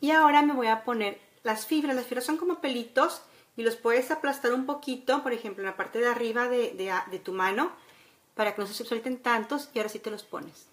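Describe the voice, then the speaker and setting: Mexican lady doing a chatty makeup tutorial at home, voice a bit high, keeps checking you follow. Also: brisk. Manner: instructional, chatty, with frequent checking tags. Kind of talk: casual makeup tutorial, talking to viewers. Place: room at home by a window, indoors.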